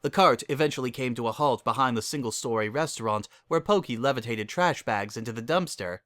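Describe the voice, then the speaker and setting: A man giving an expressive, animated dramatic reading as a story narrator. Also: medium pitch, brisk, no echo, clean studio sound.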